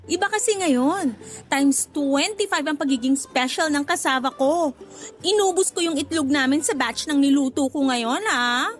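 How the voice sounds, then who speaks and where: High-pitched flirty, touchy cassava vendor played by a woman. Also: moderate pace, faint music behind, close to an everyday voice. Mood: playful, flirty. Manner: playful banter turning to indignant huffs. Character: flirty, easily jealous female cassava cake vendor, teasing and touchy. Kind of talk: Tagalog radio drama acting.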